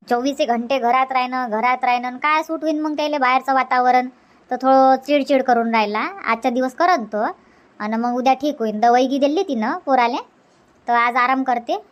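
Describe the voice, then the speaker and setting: A woman's voice: sits high, lo-fi sound, close to an everyday voice. A woman voicing a chatty, insistent middle-aged village housewife in a comedy cartoon, speaking colloquial Varhadi Marathi from Vidarbha.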